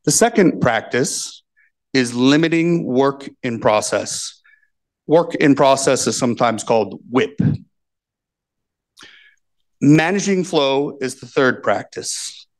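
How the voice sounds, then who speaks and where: A man from the giving a conference talk, speaking plainly and reading his points out slowly on a handheld mic. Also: mid-range voice, lively intonation. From United States. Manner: plain, measured, reads points out slowly for translation. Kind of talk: slow, deliberate conference talk. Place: conference room with projector. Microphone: handheld microphone.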